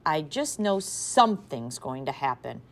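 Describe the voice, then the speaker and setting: A woman voicing a nervous young schoolgirl who speaks slowly and fretfully. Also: a bass voice, big pitch swings, gravelly, exaggerated voice.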